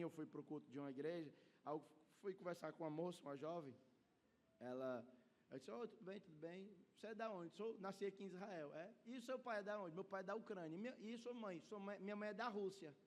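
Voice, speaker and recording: Male pastor, Ceará accent, high voice, preaching conversationally with emphasis and check-ins, live in a church hall with long reverb. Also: quick pace. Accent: northeastern Brazilian, Ceará. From Brazil. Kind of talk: expository sermon to a congregation.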